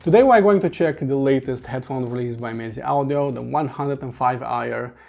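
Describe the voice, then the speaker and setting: A Romanian-accented man, a native Romanian speaker, walks through a headphone review in a steady, methodical way, stopping often on uh fillers. His mid-range voice has a gravelly, creaky edge. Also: medium pitch, moderate pace, faint music behind. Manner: earnest, methodical, with frequent uh fillers. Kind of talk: scripted product review voice-over.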